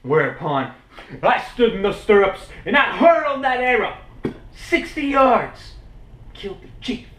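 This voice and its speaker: Man playing a booming, boastful Wild West showman. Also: animated, a little slow, fairly bright tone, far from the mic. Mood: boastful, excited. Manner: theatrical, grandiose storytelling. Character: boastful Wild West showman, swaggering frontier hero telling tall tales. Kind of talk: stage monologue. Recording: roomy, echoing indoor recording.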